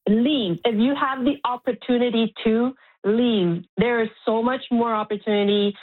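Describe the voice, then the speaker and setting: A woman's voice: a little high, moderate pace. A middle-aged woman calling a radio phone-in, speaking frankly and emphatically off the cuff and repeating words for stress. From Montreal, Canada. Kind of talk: spontaneous opinionated phone-in talk. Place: on a phone call to a radio show. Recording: muffled narrow-band phone line.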